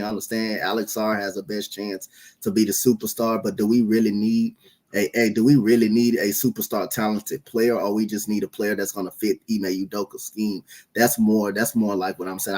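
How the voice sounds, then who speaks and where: A man's voice: fairly low pitch, darkish tone. A man hosting a casual basketball podcast, laid-back and rambling through his opinions. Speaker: podcast host. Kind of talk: casual sports podcast chat.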